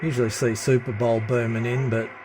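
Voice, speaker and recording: A man giving a casual hands-on demonstration as a radio hobbyist, with a low-key, halting delivery. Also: level tone, music in the background. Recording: noisy room with radio static. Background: CB radio chatter and static.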